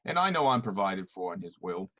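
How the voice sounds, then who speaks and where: A man's voice: deep voice, sing-song, a little fast, fairly bright tone, no echo, heavily acted. A man playing a pointed, needling murder suspect in an amateur radio-style mystery.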